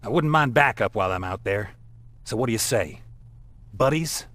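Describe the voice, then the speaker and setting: A man's voice: fairly low pitch, expressive, normal speed, a bit bright, dry recording. A man playing a cocky, wisecracking survivor scout in a video game, breezy and sarcastic. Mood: teasing, breezy.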